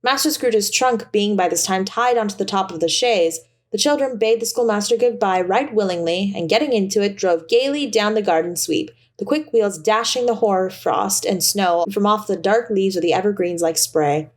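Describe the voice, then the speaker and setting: A woman narrates a classic story from a script. Her voice sits at a middle pitch and her reading is expressive and theatrical. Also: normal speed, hardly any pauses. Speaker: audiobook narrator.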